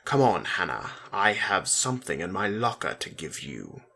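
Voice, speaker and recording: A man's voice: low voice, big pitch swings, moderate pace, creaky, pushed and bright, heavily acted. A man reading the storybook lines of a cheerful, easygoing teenage schoolboy. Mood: breezy. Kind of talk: storybook dialogue in a dramatic reading.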